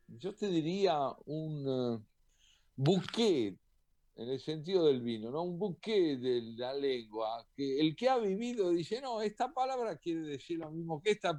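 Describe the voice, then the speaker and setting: A man, a poet and translator, answering interview questions in a thoughtful, measured way with occasional hesitant fillers. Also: on the high side, sing-song, a little mellow, no room sound. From Argentina.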